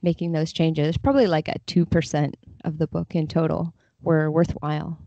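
A woman's voice: sits low, level tone, normal speed, dark tone, dull audio, a little hiss or hum. A woman talking casually and thoughtfully as a guest translator in a podcast interview, explaining her views.

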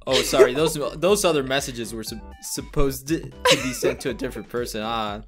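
A man in a casual chat, reading texts quickly with stumbles and laughter. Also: mid-range voice, fairly bright tone, soft background music. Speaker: co-host.

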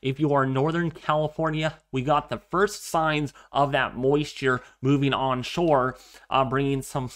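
A man's voice: mid-range voice, level tone, measured pace. A young man getting over a cold, sounding congested and a little nasal, earnestly giving a casual weather update from his home office. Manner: earnest, repeats himself, many fillers. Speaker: weather forecaster. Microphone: webcam framing at desk.

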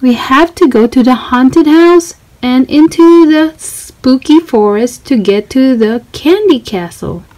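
A woman voicing a cheerful, excitable young girl explorer in a children's storybook, exclaiming and asking listeners for help. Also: high voice, slow, few pauses, rough recording. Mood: cheerful, inviting. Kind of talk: children's storybook read-aloud.

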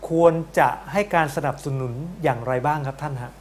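Male current-affairs host, middle-aged, slightly high voice, polite studio interviewing. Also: brisk. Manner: polite, frequent backchannel acknowledgements.

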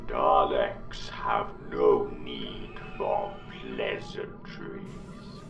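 A man voicing a menacing, domineering alien war machine in an audiobook, barking slow staccato commands through electronic robotic modulation. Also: a bass voice, wildly swooping pitch, creaky, roomy sound, over music, voice pushed down, heavily acted. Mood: cold, domineering. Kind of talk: audiobook character voice.

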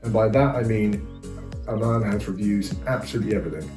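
Man from the UK, middle-aged, lots of ums and restarts, low-ish voice, living room echo, faint background music. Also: fast talker. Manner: hesitant, many fillers and restarts. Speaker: viewer giving opinions. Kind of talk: casual reaction review.

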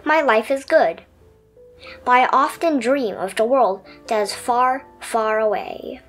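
Woman as little storybook turtle, high voice, earnest, easily amazed, expressive, music behind. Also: slow pace. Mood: dreamy, wondering. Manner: expressive storybook first-person telling.

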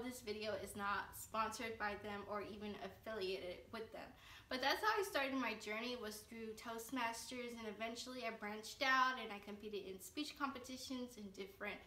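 Brief: twenties woman vlogger, earnest and upbeat, slightly high voice, roomy and off-mic. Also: bright, forceful tone, recorded from a distance. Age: 20s. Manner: upbeat, earnest, gestures with hands while talking. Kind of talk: casual vlog talk to camera. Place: living room, sitting on a couch.

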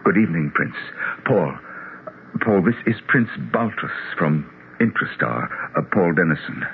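An actor playing an earnest, thoughtful doctor of psychology in a 1970s radio drama, with a musing, somewhat hesitant manner. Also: male voice, sits low, animated, unhurried, creaky, heavily acted. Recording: tinny, noisy 1970s radio broadcast.

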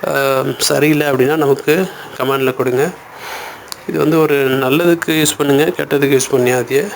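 A man's voice: mid-range voice, steady tone, normal speed, creaky, noisy background. A man hosting an informal step-by-step app tutorial, talking through each step in colloquial speech. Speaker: YouTube tutorial host.

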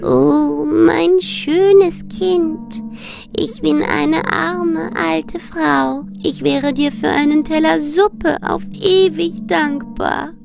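Slow, creaky old witch. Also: sits high, steady tone, heavily acted. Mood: sly, pleading. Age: older. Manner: drawn-out, theatrical. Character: scheming witch posing as a poor, harmless old woman. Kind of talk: children's fairy tale acting. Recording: long reverb, music behind.

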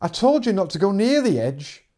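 A man voicing a bossy, furious young woman who runs a farm, snapping and shouting in a story. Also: sits high, sing-song, normal speed, pitched above the performer's own voice.

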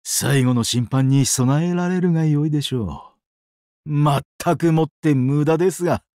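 Weepy cruel doomsday angel in a game, super polite and theatrical, highish voice, very dry close sound. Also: male voice, measured pace, dark tone, no room sound. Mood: solemn, courteous. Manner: exaggeratedly polite, dramatic, swinging between sorrow and glee. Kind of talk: Japanese mobile game character acting.

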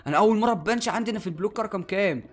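Fast, high-pitched young Egyptian man gaming. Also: soft background music. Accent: Egyptian Arabic. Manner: animated, repeats words rapidly. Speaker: gaming YouTuber and host. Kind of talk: excited Minecraft let's-play commentary. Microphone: headset, seen on webcam.

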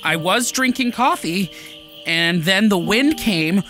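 A man's voice: sits high, lively intonation, slow, bright, forceful tone, faint music behind, exaggerated voice. A man playing an earnest, wistful young male satyr in an audio drama, talking in a rambling way. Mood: wistful, hopeful.

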